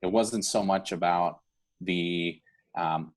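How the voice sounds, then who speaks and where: A man's voice: low voice, slow. A man hosting an online awards event, speaking informally and from the heart in an earnest, encouraging way. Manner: earnest, encouraging, often seeking agreement with "right?". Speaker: event host for a scholarship foundation.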